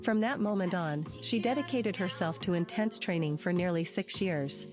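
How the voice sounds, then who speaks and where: A woman narrates from a script in documentary fashion. Her voice sits a little low, and music runs clearly behind her. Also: moderate pace. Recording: music under the voice. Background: background music.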